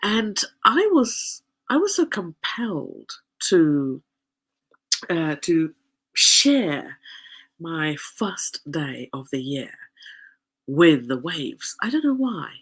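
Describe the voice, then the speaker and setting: A woman's voice: low-pitched, big pitch swings, extremely slow, breathy edge. A woman hosting a podcast in a casual solo talk, with a nasal voice and a chatty, rambling manner full of fillers. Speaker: podcast host.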